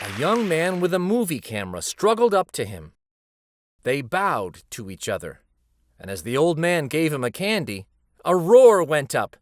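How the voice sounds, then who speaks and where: A man narrating a children's picture book aloud in an expressive storytelling style. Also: a little high, normal speed, no echo, clean studio sound, a faint crowd.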